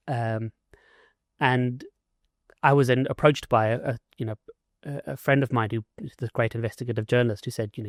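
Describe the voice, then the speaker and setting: A man's voice: mid-range voice, no echo, clean studio sound. A man from South Africa, an author and investigative journalist, answering interview questions in a casual way, with many "like" and "you know" fillers and restarts.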